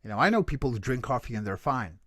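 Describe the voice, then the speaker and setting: A man's voice: medium pitch, very animated, fast, a bit bright, husky, no room sound, studio quality. A man, a voice coach and creature performer, answering questions earnestly on a video call, often restarting his sentences. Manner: earnest, explanatory, frequent restarts and self-corrections. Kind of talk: casual Q&A answers.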